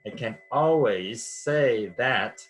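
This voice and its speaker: A man's voice: medium pitch, animated, slow pace. A man from Vietnam teaching vocabulary patiently, repeating words and checking with "okay?". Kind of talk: classroom vocabulary lesson.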